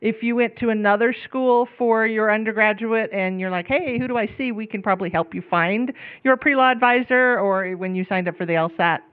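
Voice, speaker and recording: A woman's voice: fairly high pitch, brisk, few pauses, clear, resonant tone. Chatty woman advisor on a thin mic. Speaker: pre-law advisor. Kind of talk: informational talk to students. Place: lecture room, on microphone. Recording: phone-like thin room recording.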